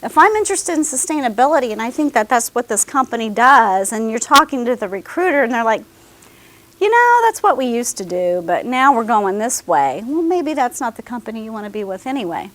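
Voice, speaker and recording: Lively, high-voiced woman presenting to students. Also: brisk. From American South. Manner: animated, addresses students directly, checks in with "okay?". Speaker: career services presenter. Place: classroom with students.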